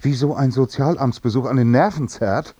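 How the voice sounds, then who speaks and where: An actor playing an irritable, defiant unemployed working-class husband who grumbles and swears curtly in a radio play. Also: male voice, mid-range voice, normal speed, mellow, husky, no room sound, natural voice. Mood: grumbling, indignant. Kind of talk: naturalistic radio play dialogue.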